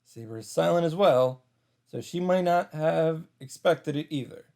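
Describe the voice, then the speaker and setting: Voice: mid-range voice, animated, normal speed, vocal fry, dark tone. A commentator reading a visual novel story aloud and reacting to it. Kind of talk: narrated visual novel read-through with reactions. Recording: music under the voice. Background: game music.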